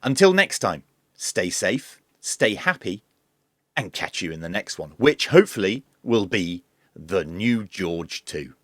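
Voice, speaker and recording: A man hosting a hands-on tech demonstration, explaining each step in a measured, informal way. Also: low voice, very animated, dry recording, clean studio sound. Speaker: host of a radio tech review channel.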